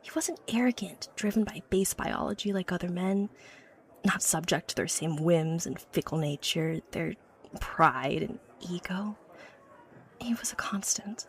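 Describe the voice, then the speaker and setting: A woman's voice: lowish voice, expressive, measured pace. A woman playing an obsessive, haunted young inventor from a wealthy family in a horror audio drama, confiding hesitantly with phrases that drop to a whisper. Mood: wistful, fond. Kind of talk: horror audio drama acting, confessional monologue. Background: background music.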